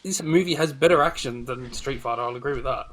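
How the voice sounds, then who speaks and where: A man's voice: medium pitch, quick pace, a crowd in the background. A man debating films casually on a podcast panel, with a sceptical way of talking.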